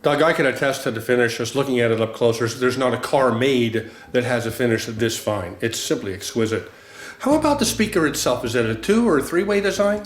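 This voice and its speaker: A man's voice: medium pitch, animated, a little fast. A man acting as a reporter, asking questions in a casual on-location interview. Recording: echoey room sound.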